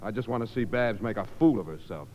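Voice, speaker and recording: An actor playing a heartbroken, urgent young rejected suitor in a 1950s radio comedy. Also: male voice, on the low side, animated, fast talker, darkish tone, big-room sound, a little hiss or hum, lightly acted. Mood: bitter resignation. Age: young adult. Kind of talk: 1950s radio drama acting.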